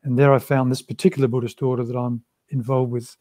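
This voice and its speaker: A man, answering interview questions in a measured, thoughtful way over a video call from a small room. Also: medium pitch, little pitch movement, dark tone, no echo. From Australia. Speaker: interview guest, Buddhist practitioner. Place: small room with a shrine, indoors.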